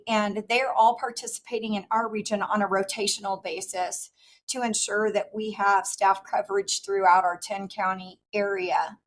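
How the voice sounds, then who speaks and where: A woman in her fifties, hoarse from losing her voice, giving formal testimony as a regional director over a remote call. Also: medium pitch, poor audio. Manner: earnest, steady, with fillers. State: hoarse, lost voice. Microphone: remote call audio.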